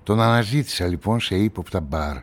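Guy acting a guarded sleuth narrator in a radio play, low voice, measured and reflective, faint music. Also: expressive, natural voice. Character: male amateur sleuth narrating a crime mystery, guarded. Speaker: narrator and lead actor. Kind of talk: first-person radio play narration and dialogue.

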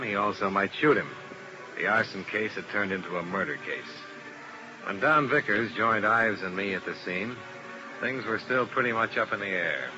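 Give me a tough, clipped insurance investigator from a vintage radio crime drama, acted by a man. His voice sits low and steady, and he asks blunt questions with dry reserve. The old broadcast hisses clearly underneath. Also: highs cut off, close to an everyday voice. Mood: somber narration. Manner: terse, dry, probing. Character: hard-boiled male insurance investigator. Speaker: narrator and lead detective. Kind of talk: 1950s radio drama acting.

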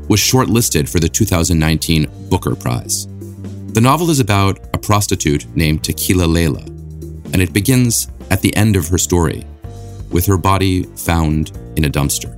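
Deep-voiced podcast host, deliberate. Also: male voice, no room sound. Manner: measured, deliberate, academic. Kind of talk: scripted intro then interview questions.

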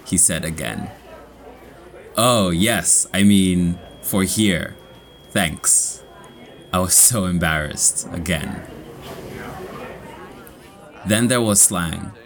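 A young man with a Kenyan accent, reading a personal story slowly and clearly for English learners. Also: low-pitched, slight background noise. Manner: slow, clear, measured reading.

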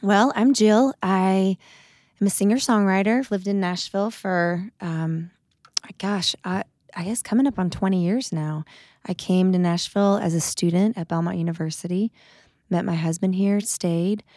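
A woman's voice: mid-range voice, moderate pace, darkish tone, no echo. A woman in her forties, a singer-songwriter, talking in a friendly, self-deprecating way in a studio interview. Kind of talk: casual panel interview. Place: studio with mics.

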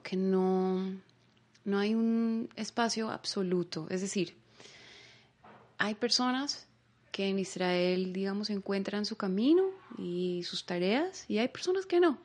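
A woman from Bogotá with a Colombian accent, speaking as an interview guest in a reflective, searching way, often pausing on filler words. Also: medium pitch. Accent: Bogotá Colombian Spanish.

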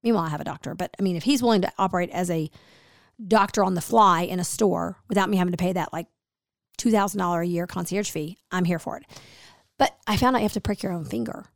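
Chatty woman with a slightly gravelly voice. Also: lowish voice, fast talker, dry recording, studio quality. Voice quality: gravelly, hoarse. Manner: chatty storyteller, acts out quoted dialogue. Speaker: podcast host. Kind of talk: casual chat.

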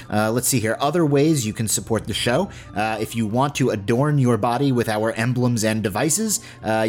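A man hosting a podcast, giving casual announcements in a chatty, informal way. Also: fairly low pitch. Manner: chatty, informal, with emphatic repeats. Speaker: podcast host. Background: faint music.

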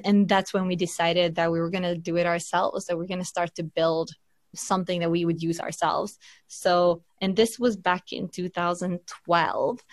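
A woman from Finland, a venture capital partner, talking through her background in a relaxed interview, with frequent fillers and restarts. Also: lowish voice, no echo. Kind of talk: conversational podcast interview.

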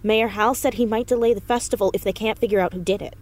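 A woman plays an American teenage girl sleuth in an audio drama, mid-pitched for a female voice. She is earnest, confident and articulate, laying out her reasoning in long, eager sentences. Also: fairly even intonation, quick pace, natural voice. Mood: intent, confident. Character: precocious fourteen-year-old girl detective, eager and confident.

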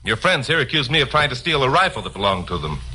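A man's voice: medium pitch, very animated, quick pace, pushed and bright, poor audio. An actor playing a cool, dogged newsman sleuth in a 1950s radio crime drama, with clipped, terse narration. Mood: cool, pressing. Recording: old 1950s radio recording with music.

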